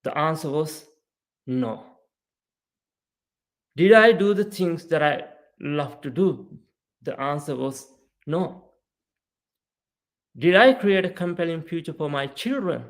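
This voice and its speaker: A man's voice: a little high, slow pace, long pauses. A man from Sri Lanka in his thirties, a guest on a podcast, telling his story earnestly and often asking "right?". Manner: earnest, animated, frequent "right?" and "you know". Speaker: podcast guest, mindset coach. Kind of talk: casual storytelling interview.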